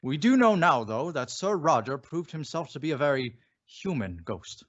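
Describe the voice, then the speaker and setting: Man playing a bold detective, very animated. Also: a little high, normal speed. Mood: curious, composed. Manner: theatrical, probing, self-assured. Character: bold, inquisitive gentleman detective, unafraid of ghosts. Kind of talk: old-time radio mystery acting. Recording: dull lo-fi radio play recording.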